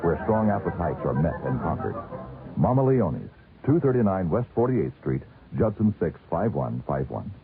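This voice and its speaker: A man's voice: low-pitched, sing-song, normal speed. An American man delivering a persuasive scripted radio commercial in mock-pitying, rhetorical style. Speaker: advertising announcer. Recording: narrow vintage radio with music. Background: music.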